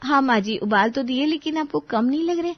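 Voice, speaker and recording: A woman playing a polite but exasperated young daughter-in-law in a dramatized story, expressive in the way she speaks. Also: sits high, fairly quick, no echo, muffled recording. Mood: polite, cheerful. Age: young adult.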